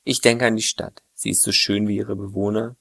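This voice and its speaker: A man reads a personal essay in an earnest, plain way. His voice sits fairly low and carries a strong gravelly fry. The sound is close and dry, with no room around it. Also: little pitch movement, moderate pace, fairly bright tone, no echo. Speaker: essay narrator. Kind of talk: reflective first-person narration.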